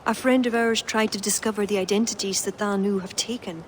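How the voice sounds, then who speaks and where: Actress as an guide: earnest, urgent, over clear background noise. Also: female voice, medium pitch, fairly even intonation, fairly quick, close to an everyday voice. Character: young Irish woman, loyal guide. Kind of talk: video game dialogue.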